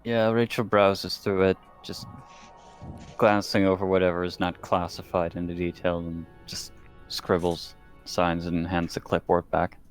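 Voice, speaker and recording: Man, tabletop game player, deep voice, casual character narration, faint music. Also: flat delivery, unhurried, low-quality recording. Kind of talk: casual roleplay, describing a character's actions.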